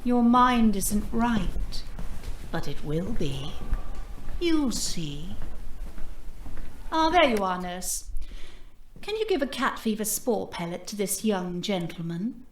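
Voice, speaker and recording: A woman playing a menacing, imperious cult leader in an audio drama, slow and theatrical, mock-gentle and often dropping to a whisper. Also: medium pitch, big pitch swings, a little hiss or hum. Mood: coolly condescending. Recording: roomy, echoing interior sound.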